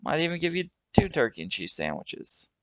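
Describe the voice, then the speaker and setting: A man's voice: medium pitch, big pitch swings, normal speed, gravelly, a bit bright, dry recording, voice pushed up. A man doing a brash, swaggering young thug. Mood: boastful. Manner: aggressive, swaggering. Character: brash young male gang leader, aggressive.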